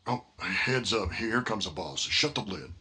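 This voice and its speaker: A man playing a casual, callous undertaker's assistant in radio drama, offhand and gruff. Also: lowish voice, expressive, a little slow, bright, forceful tone, heavily acted. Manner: offhand, gruff shop talk. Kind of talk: live radio horror drama acting.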